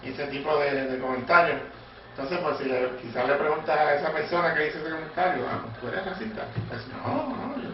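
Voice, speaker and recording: A man's voice: on the high side, fast talker. A man answering an interview question in a hesitant, thoughtful way, with many fillers. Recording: echoey, distant, dull with background noise.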